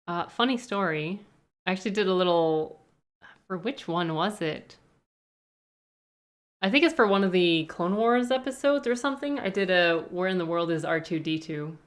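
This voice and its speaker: A woman's voice: mid-range voice, expressive, moderate pace, long pauses. A young woman with a Canadian accent, chatting casually on a live stream and answering viewer questions. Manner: rambling, thinks aloud with frequent hedges.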